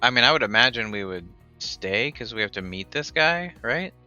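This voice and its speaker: A man's voice: medium pitch, expressive, normal speed, bright, forceful tone, soft background music. A man playing a tabletop game, thinking aloud hesitantly about plans. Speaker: player. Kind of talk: casual tabletop game chat. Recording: dull lo-fi mic.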